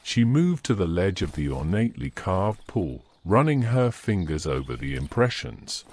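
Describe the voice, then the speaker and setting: A man's voice: low-pitched, sing-song, normal speed, a little mellow. A man reading scripted narration for a fantasy story as its narrator.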